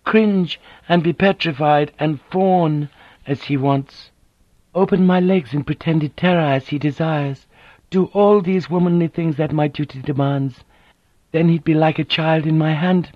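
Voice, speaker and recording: A man reading a story aloud, voicing a proud, wounded noblewoman who speaks calmly and bitterly. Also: low-pitched, a little slow, dry recording, dull audio, pitched above the performer's own voice. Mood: resentful. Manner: calm, controlled, bitter.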